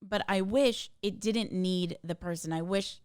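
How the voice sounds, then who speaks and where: A woman's voice: medium pitch, a little slow, a little mellow, smooth, no room sound, clean studio sound. A woman answering interview questions in an earnest, passionate, emphatic way. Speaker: tech community founder, podcast guest. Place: hotel suite.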